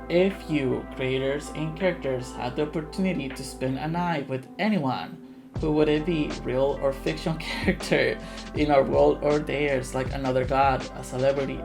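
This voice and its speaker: Guy with a creaky voice chatting on a q&a, playful, makes fun of himself, guitar music behind. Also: on the high side. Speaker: game developer hosting a Q&A. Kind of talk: casual live Q&A chat.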